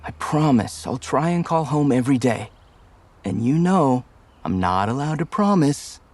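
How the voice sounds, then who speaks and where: A devoted, affectionate grown son, played by a man in a motion comic. His mid-pitched voice is husky, and he talks in a casual, earnest way. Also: expressive, measured pace, darkish tone. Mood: tender.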